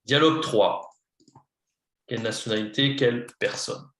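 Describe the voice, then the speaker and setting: A man teaching French on a video call to a beginner, switching between English and French and guiding slowly and patiently. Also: medium pitch, a bit bright, reverberant. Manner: patient, slow, coaching a beginner. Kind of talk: online lesson, mixing English and French.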